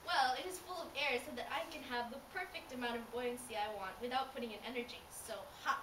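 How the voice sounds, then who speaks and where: A woman's voice: on the high side, quick pace, natural voice. A woman voicing a smug, snarky fish who taunts and giggles in an amateur comic puppet show. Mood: smug explaining. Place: indoors, homemade puppet stage filmed by a camera. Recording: distant echoey home recording, background noise.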